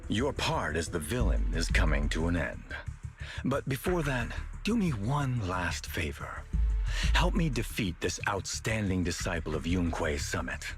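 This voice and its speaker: A man playing a cold, scheming tiger-clan villain in a video game, with a measured, condescending, theatrical delivery. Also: deep voice, big pitch swings, faint music behind. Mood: coolly threatening. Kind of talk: dramatic video game voice acting.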